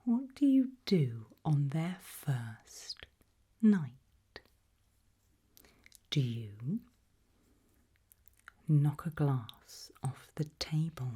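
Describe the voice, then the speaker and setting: A British woman whispering an ASMR roleplay in a breathy voice, putting slow, deliberate questions with long pauses. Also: deep voice, sing-song, warm tone.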